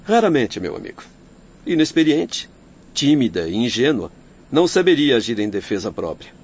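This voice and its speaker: A man's voice: mid-range voice, very animated, a little mellow, husky. A man with a Brazilian accent playing a grave, compassionate doctor who solemnly recounts a life in radio drama. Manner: solemn, measured explanation. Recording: dull lo-fi, faint background noise.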